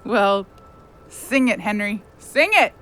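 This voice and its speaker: Bright-voiced, remorseful, caring young woman. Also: mid-range voice, lively intonation, slow, faint music behind, poor audio. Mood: wry, relieved. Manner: earnest, plain-spoken, wry. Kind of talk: audio drama acting.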